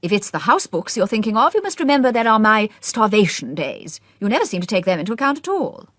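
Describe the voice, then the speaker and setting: A woman's voice: mid-range voice, sing-song, quick pace, fairly bright tone, no room sound, studio quality, voice pushed up. A woman voicing a fussy, petulant middle-aged man complaining about his special eating cure. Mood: cross.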